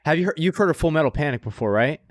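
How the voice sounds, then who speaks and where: A man's voice: a little high, animated, rapid-fire, dry recording, clean studio sound. A man co-hosting a podcast, chatting casually and enthusiastically with slangy, profane language and emphatic recommendations. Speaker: podcast co-host. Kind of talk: casual chat about anime.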